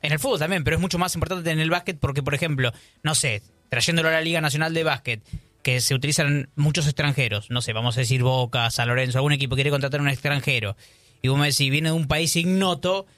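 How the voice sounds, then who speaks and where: An Argentine man on a sports panel reasons out loud with examples and fillers. His voice is bright and forward, a bit high, and heard dry and close. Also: fast, no echo. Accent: Argentine Spanish. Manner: talks things through with examples and filler words. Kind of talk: casual radio panel chat.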